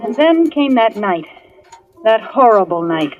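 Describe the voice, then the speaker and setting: An actress playing a wisecracking, frightened young dance hall hostess in a 1940s radio thriller, with sassy, streetwise delivery. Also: female voice, fairly high pitch, sing-song, a little slow, darkish tone. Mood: tense narration. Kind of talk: 1940s radio thriller acting and first-person narration. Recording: muffled old radio recording, music behind.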